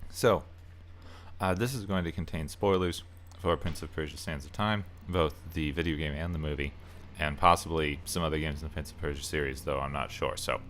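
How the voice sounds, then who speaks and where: A man's voice: rumbling low, fairly quick, fairly bright tone, a little hiss or hum. A man giving casual, opinionated commentary as a game reviewer, rambling a little and stressing key words. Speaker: video essayist and game reviewer. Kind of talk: casual loosely scripted commentary.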